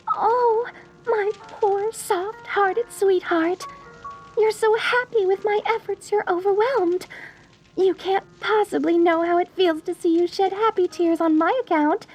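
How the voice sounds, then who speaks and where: A deranged, lovesick mortician bride in a dark comedy audio drama. Her voice sits extremely high, with music playing behind it. She gushes and coos in a genteel, theatrical way, sweet on the surface and menacing beneath. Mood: gushing. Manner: theatrical, cooing, genteel and gushing with endearments. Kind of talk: dark comedy audio roleplay, addressed to the listener.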